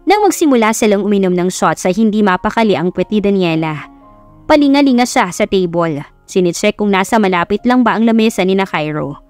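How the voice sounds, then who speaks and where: A woman's voice: medium pitch, animated, fairly quick, darkish tone, clean tone, soft background music. A woman with a Filipino accent reading a scripted romance story as an audiobook narrator.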